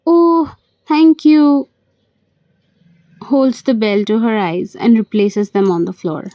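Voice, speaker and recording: A woman's voice: medium pitch, normal speed, mellow, no echo, poor audio. A woman narrator reading out the stage directions and speaker names of a play.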